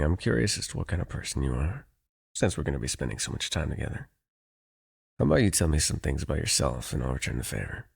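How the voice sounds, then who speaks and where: A man playing a gruff, teasing, easily exasperated guide for hire in an ASMR audio roleplay, speaking straight to the listener in a dry, folksy way. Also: exaggerated voice. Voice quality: low, gravelly, husky. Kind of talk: ASMR audio roleplay, one-sided dialogue addressed to the listener.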